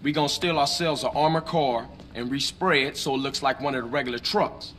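A man's voice: medium pitch, fairly quick, fairly bright tone, natural voice. Man playing a blunt, streetwise crew boss. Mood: commanding. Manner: blunt, commanding, casual slang. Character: bold, streetwise young male gangster leading a heist. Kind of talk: video game cutscene acting. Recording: faint background noise.

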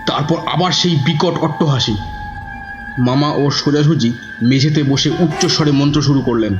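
A man's voice: a little high, normal speed, natural voice. A man playing a devoted young nephew who narrates a horror audio drama in a dramatic, scripted style. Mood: tense narration. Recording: dull lo-fi, music behind.

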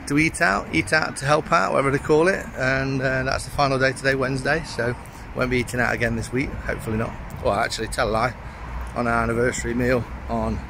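A man in his forties talks casually into a phone held at arm's length. His voice sits at an ordinary male-to-middling pitch, with a plain conversational manner. There is clear ambient noise behind him. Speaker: vlogger and youth football coach. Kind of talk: casual chat to camera. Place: changing spots: rooms at home, doorstep, open outdoors.

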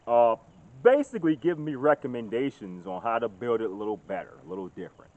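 A man's voice: mid-range voice, fast talker. Chatty man vlogging in the woods. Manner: chatty, gets loud and excited showing off. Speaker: outdoors vlogger. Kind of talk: casual outdoor vlog. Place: outdoors in woods. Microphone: phone camera held at arm's length. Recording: dull lo-fi phone audio outdoors.

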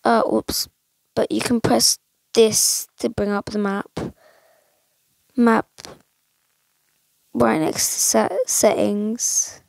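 A young woman gives loose, meandering commentary while she plays, filling the gaps with likes and ums. Her voice sounds a bit croaky and gravelly, and her talk is slow and unhurried. The sound is dry and close, with no room around it. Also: fairly high pitch, level tone, no room sound. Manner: chatty, rambling with many fillers. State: slightly croaky voice. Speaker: gaming channel host. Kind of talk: casual gameplay commentary.

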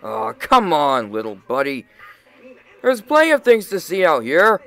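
A man's voice: a little high, wildly swooping pitch, measured pace, a bit bright, clear, resonant tone, heavily acted. A man voicing a cheerful, hokey dog detective in an amateur cartoon, with folksy, florid exclamations. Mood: folksy, upbeat.

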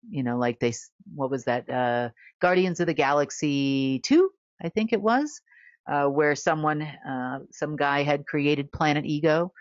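A woman's voice: a bass voice, no echo. A middle-aged woman speaks off the cuff in a studio, chatty and meandering with frequent fillers. The room is dead dry and the sound a little muffled. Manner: rambling, many fillers, laughs often. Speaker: guest reporter. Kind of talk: unscripted monologue to camera. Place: studio with plain backdrop.

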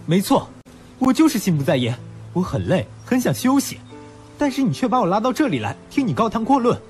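A man playing a blunt, teasing young university student who is quick to flare up, in an audio drama that he also narrates. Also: high voice, big pitch swings, darkish tone. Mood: angry, fed up. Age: young adult. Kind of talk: Mandarin audio drama acting and first-person narration. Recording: background music under the voice.

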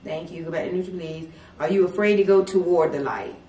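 Calm low-voiced woman questioning spirits. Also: dull audio. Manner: slow, calm, polite questioning. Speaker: paranormal investigator. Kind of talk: spirit communication session. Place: dim salon at night. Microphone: far camera. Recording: echoey room from far off.

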